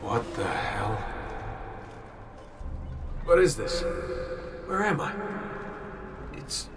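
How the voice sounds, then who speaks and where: A man's voice: mid-range voice, sing-song, very slow, recorded from a distance, background noise. An actor playing a sardonic, easygoing young American man in a video game, talking in a casual, naturalistic way. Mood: bewildered. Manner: casual, wry, colloquial. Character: young modern-day man reliving ancestral memories, sardonic, easygoing.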